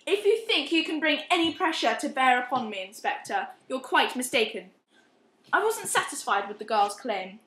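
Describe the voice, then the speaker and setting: A haughty, middle-aged society matron acted by a woman in an amateur radio play. The voice is high and bright in an echoey room, imperious, self-righteous and quick to defend herself. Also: very animated, a little hiss or hum. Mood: haughty, defiant. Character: haughty, unrepentant middle-aged upper-class charity matron. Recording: roomy, echoing amateur recording.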